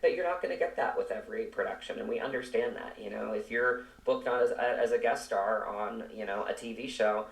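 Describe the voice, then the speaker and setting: Male interview guest, actor. Low voice, earnest and emphatic, conversational, picked up from a distance with room echo. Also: brisk, gravelly, few pauses. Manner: reflective, emphatic, circling back over phrases. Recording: roomy echo, distant microphone.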